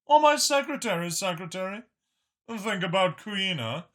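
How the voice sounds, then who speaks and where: A man voicing a powerful, patient dragon senator who explains things in a measured, self-assured way. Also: high-pitched, lively intonation, bright, forceful tone, pitched above the performer's own voice. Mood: pointed.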